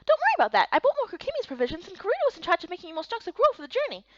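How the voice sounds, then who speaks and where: A woman's voice: very high-pitched, huge pitch swings, very fast, no room sound, dull audio, full character voice. A woman voicing a bubbly, chatty, kindly female fairy in a visual novel game. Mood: chatty, friendly. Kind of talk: voiced playthrough of fantasy visual novel.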